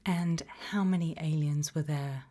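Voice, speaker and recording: British woman whispering softly, low and husky. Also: fairly even intonation, a little slow. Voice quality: soft whispering, breathy. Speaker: ASMR roleplay creator playing an investigator. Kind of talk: ASMR roleplay interview.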